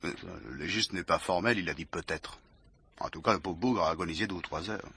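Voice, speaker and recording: An actor playing a dutiful, matter-of-fact police inspector in a French radio mystery, reporting in clipped, factual phrases. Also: male voice, low voice, fairly quick, pushed and bright, breathy edge, full character voice. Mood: businesslike.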